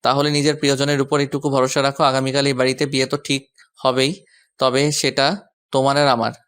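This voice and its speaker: A man's voice: mid-range voice, flat delivery, a little fast, fairly bright tone, clean tone, close to an everyday voice. A man playing a devoted, confident young lover in a romantic audio story, speaking firmly and protectively. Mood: reassuring.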